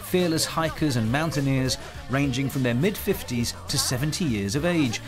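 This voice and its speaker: A man reads a travel report as voice-over in a measured, even tone. Music plays clearly behind him. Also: mid-range voice, poor audio. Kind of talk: scripted narration.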